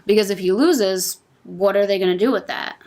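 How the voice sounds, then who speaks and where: A woman's voice: medium pitch, moderate pace, darkish tone. A woman on a podcast panel, casually explaining her predictions in an earnest way. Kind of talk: casual wrestling prediction talk.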